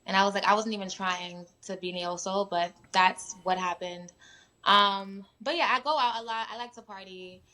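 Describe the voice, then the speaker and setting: A woman's voice: mid-range voice, normal speed. A young woman from New York, chatting casually as a guest musician with lots of "um" and "like". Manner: relaxed, many fillers like "um" and "like". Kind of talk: casual interview chat. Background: sirens at times.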